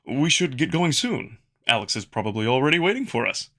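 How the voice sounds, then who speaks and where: Man voicing an eager, bright-voiced wolf man. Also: medium pitch, big pitch swings, fairly quick. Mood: gently attentive. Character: Amicus, earnest eager male alien wolf, slightly awkward. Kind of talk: visual novel character dub.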